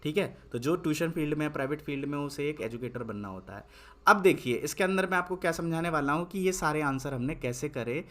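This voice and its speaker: A young man teaching English basics in Hindi, asking and answering his own questions and dictating slowly while he writes. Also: a little high. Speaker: English tutor. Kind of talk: spoken English lesson with writing on a board.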